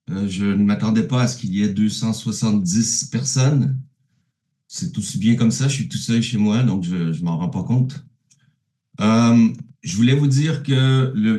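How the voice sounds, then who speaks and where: A man's voice: lowish voice, dark tone, roomy sound. Québécois man lecturing from home. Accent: Québécois French. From Quebec, Canada. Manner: halting, many fillers and restarts. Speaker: neuropsychologist giving a remote talk. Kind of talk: conference presentation. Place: at home, alone.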